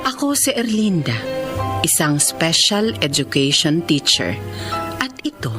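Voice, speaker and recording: A woman's voice: sits low, very animated, a little slow. Elderly female teacher, devoted and grateful, radio drama, music bed. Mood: reflective. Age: older. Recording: music under the voice.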